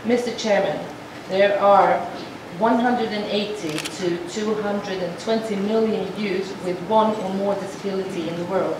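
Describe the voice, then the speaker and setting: A woman voicing a formal speech to an assembly as a sign language interpreter, measured and halting, with frequent restarts. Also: mid-range voice, fairly even intonation. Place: large assembly hall. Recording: echoey hall from far off, noisy.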